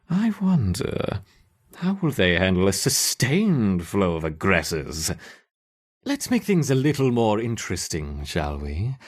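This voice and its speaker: A man's voice: mid-range voice, husky, heavily acted. A man playing a theatrical video game scientist who relishes cruel experiments on ants, with a mock-innocent manner. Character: gleefully sinister male scientist running cruel ant experiments. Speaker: lab researcher character. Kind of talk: video game character acting.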